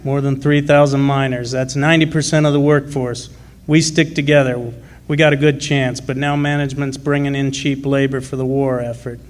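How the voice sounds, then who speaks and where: An actor playing a gruff, proud working-class miner and union man in a radio drama, with plain folksy speech. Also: mid-range voice, little pitch movement, moderate pace, lightly acted. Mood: aggrieved, firm. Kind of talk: live original radio drama.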